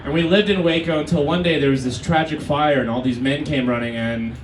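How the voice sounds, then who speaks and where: A man's voice: on the high side, fairly even intonation, brisk, distant mic, noisy background. A Brazilian-born man in his thirties doing a stand-up set, telling wry, profane stories and talking back to the crowd. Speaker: stand-up comedian. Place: comedy club stage before a live crowd. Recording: roomy club audio with crowd laughter.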